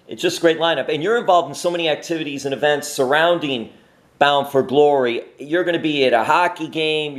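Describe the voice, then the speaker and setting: A man hosting an interview, asking questions in an upbeat, enthusiastic way. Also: on the high side. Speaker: interview host. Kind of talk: casual interview.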